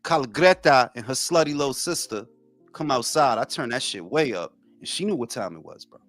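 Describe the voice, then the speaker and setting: Animated New York man telling stories. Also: mid-range voice, brisk, soft background music. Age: 40s. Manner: animated, profane storytelling. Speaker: podcast co-host. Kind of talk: casual chat.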